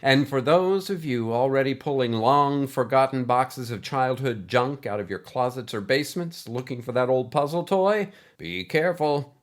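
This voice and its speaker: A man's voice: mid-range voice, moderate pace, few pauses. A man hosting and narrating a scripted audio story in a dramatic style. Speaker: storyteller and host.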